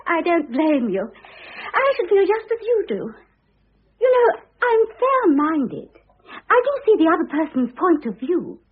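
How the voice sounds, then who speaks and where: An actress playing an affectedly innocent, self-absorbed young second wife in a classic radio drama, with a gushing, theatrical, wheedling manner. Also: female voice, extremely high pitch, big pitch swings, unhurried, phone-quality sound, full character voice. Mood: earnest confiding. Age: young adult. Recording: thin band-limited old radio recording.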